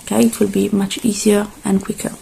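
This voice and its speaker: A woman speaking softly and gently in a halting, reassuring ASMR roleplay, slipping between quiet voice and whisper. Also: mid-range voice, little pitch movement, creaky, slight background noise. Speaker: ASMR performer playing a robot repair technician.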